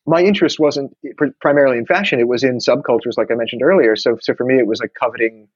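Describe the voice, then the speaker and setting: A man's voice: medium pitch, fast, husky, dry recording, dull audio. A man, talking thoughtfully in a casual conversation with many restarts. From New York. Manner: reflective, many restarts and fillers.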